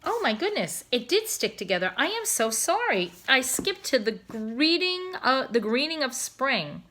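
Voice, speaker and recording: A woman reading a children's book aloud in an expressive, emphatic way. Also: high voice, unhurried. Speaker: storybook reader.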